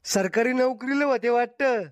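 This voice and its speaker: A woman's voice: fairly high pitch, moderate pace, no room sound, lightly acted. A woman voicing a grumbling, forthright old village father in a comedy cartoon.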